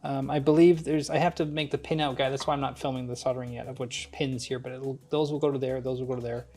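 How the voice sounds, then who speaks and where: A man's voice: medium pitch, fairly even intonation, quick pace, a little mellow, faint music behind. A man hosting a hands-on build tutorial, talking informally through each step as he works. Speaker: host of a DIY build tutorial. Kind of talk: casual hands-on instructional narration.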